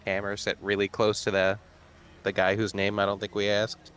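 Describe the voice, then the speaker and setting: Lower-voiced man playing a tabletop game. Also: monotone, normal speed, fairly bright tone, a little hiss or hum. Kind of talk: casual in-game table chat.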